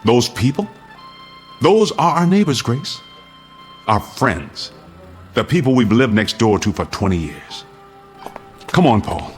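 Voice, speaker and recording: Casting: grave male head of family, mid-pitched, emphatic and very varied, radio drama over faint music. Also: sing-song, takes it slowly, long pauses. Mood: bitter, weary. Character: weary, grave father barricading his family's shelter.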